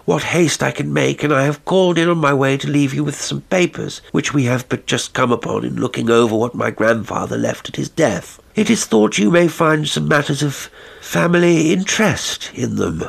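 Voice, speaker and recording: A man voicing a polite young gentleman in a hurry who speaks in a formal, deferential way. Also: fairly high pitch, moderate pace, gravelly, husky, voice pushed up, heavily acted.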